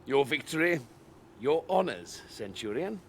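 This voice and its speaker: An actor playing a seasoned Roman military commander with measured, wry authority in a video game cutscene. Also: male voice, on the high side, big pitch swings. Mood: wry, composed. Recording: clear background noise.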